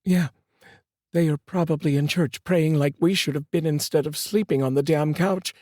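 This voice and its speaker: A male storyteller voicing a frantic, grieving mother in a high, pleading voice. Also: normal speed, warm tone, husky, no room sound, studio quality. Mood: bitter.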